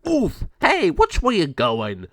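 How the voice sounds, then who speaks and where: A man voicing a rowdy, mocking gargoyle henchman in a storybook, loud, goofy and exclaiming. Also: sits high, wildly swooping pitch, takes it slowly, dry recording, studio quality, pitched above the performer's own voice, full character voice. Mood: frantic.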